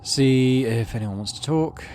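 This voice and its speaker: A man's voice: mid-range voice, a little slow, darkish tone, a little hiss or hum. A British man hosting a gaming playthrough, reading game text aloud and chatting casually about it. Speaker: gaming channel host.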